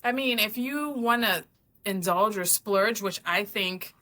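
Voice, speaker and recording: Bright-voiced American woman telling a story. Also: medium pitch, a little slow. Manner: animated storytelling, acts out what people said. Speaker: co-host of a travel channel. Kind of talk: casual chat.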